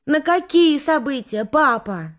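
A woman's voice: sits high, animated, unhurried, big-room sound, voice pushed up. A woman playing a naive young girl, meek but a little cheeky, in a radio drama. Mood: puzzled, timid.

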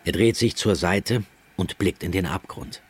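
Narrator for radio drama: man, deep and strongly husky, scripted storytelling. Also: measured pace, darkish tone.

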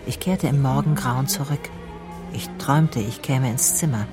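A woman's voice: a bass voice, normal speed, music in the background. An actress playing a shaken, introspective female private detective who narrates her case in a radio play. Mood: troubled, dreamy.

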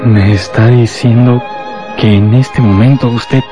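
An actor playing a probing, incredulous comrade in a radio drama. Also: male voice, mid-range voice, fairly even intonation, slow, lightly acted. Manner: inquisitive, incredulous. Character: incredulous, probing male comrade questioning a fanatic. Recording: loud music behind the voice.

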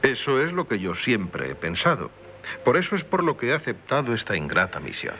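An actor with a Castilian Spanish accent playing a polite, formal aristocrat in 1970s radio theatre. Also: male voice, mid-range voice, wildly swooping pitch, pushed and bright, heavily acted. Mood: formal, tactful. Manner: courteous, measured, formal. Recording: 1970s radio recording, faint noise.

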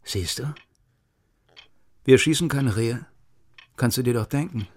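An actor playing a menacing, hard-drinking East German hardliner in a radio crime drama, with a clipped, needling, conspiratorial manner. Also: male voice, mid-range voice, unhurried, lightly acted. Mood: goading, boastful.

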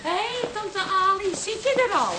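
An actress playing a suspicious, sharp-tongued café landlady with a Dutch accent in a comic radio play, sarcastic and interrogating. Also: extremely high pitch, lively intonation, measured pace, loud music behind the voice, poor audio.

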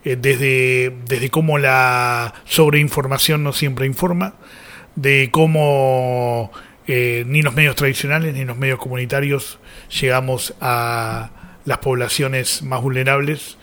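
Argentine male radio host, medium pitch. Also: steady tone, slow pace, fairly bright tone. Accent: Rioplatense Argentine. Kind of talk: live radio presenting and commentary.

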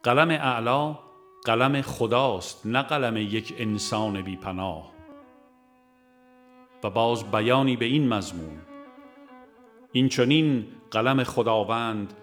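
A man narrating a religious audiobook, reciting scripture and verse in a measured, formal way with pauses between phrases. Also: medium pitch, a bit bright, faint music behind.

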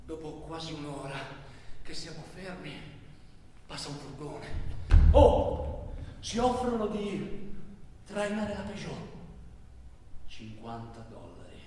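A male stage actor narrates a travel tale in a theatrical way, phrase by phrase. His delivery is animated, and the sound is distant, with long hall reverb. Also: on the high side, takes it slowly, long pauses, a little hiss or hum. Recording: very echoey hall, far from mic.

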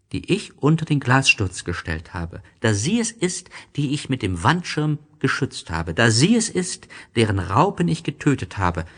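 Boy prince, played by a male narrator: innocent, earnest, higher-pitched, lively storybook delivery. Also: breathy edge, full character voice.

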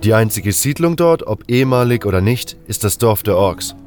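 A man's voice: on the low side, expressive, moderate pace, darkish tone, lightly acted. A man voicing a seasoned, pragmatic scout, self-assured and no-nonsense, in a fantasy audio drama. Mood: confident, wry. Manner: assertive, no-nonsense. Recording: music under the voice.

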